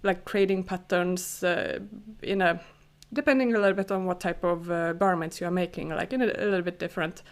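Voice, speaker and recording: A woman's voice: fairly low pitch, fairly quick, few pauses, clear, resonant tone. Hesitant woman. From Finland. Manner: hesitant, many fillers, soft laughs. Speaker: podcast co-host, freelance pattern maker. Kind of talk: casual chat.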